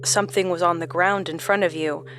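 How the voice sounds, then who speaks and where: An actress playing a haunted, trapped woman who gives a measured, brooding monologue in a horror audio drama. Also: fairly low pitch, fairly even intonation, fairly bright tone, faint music behind. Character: haunted woman trapped in endless relived lives.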